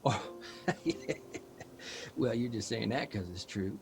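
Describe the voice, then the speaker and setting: Ernie Pyle, wry plain-spoken columnist. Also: male voice, fairly low pitch, slow. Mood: sheepish, wry. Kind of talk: understated narration in an audio drama.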